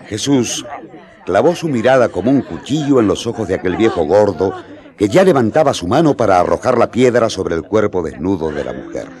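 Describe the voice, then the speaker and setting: A man's voice: lowish voice, big pitch swings, brisk. A man narrating a radio drama as a solemn, vivid storyteller. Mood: solemn, suspenseful. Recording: crowd noise behind narration.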